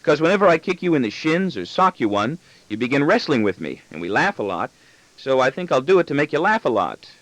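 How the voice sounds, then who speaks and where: A man's voice: medium pitch, sing-song, fairly quick, fairly bright tone, no echo, voice pushed up, poor audio. A man voicing a bossy, argumentative small boy with childlike insistence in an audiobook story. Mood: threatening, playful. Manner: indignant, insistent, childlike pestering.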